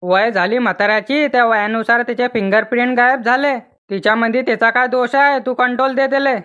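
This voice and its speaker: A man's voice: very high voice, steady tone, brisk, bright, forceful tone, smooth, muffled recording. A man voicing an argumentative, grumbling village husband in a comic cartoon, with a rural Maharashtrian accent. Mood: indignant, argumentative.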